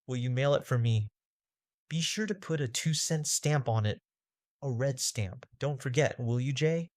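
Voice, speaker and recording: A man's voice: mid-range voice, a little slow, darkish tone, dry recording, clean studio sound, close to an everyday voice. A man playing an earnest boy from an old comic strip, speaking in simple phrases. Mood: earnest, insistent. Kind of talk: acted comic-strip dialogue.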